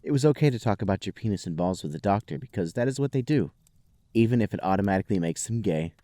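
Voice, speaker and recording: Male podcast host, lowish pitch, dry recording, mock-serious storytelling, comedic fan fiction narration. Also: animated, quick pace, mellow, no echo.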